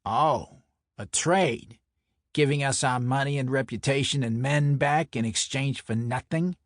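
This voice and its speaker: A man's voice: medium pitch, unhurried, dry recording, studio quality, natural voice. Man acting brash Brooklyn mob enforcer, theatrically taunting. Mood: mocking. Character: brash mocking mob enforcer with a hot temper. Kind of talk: dramatic audio fiction acting.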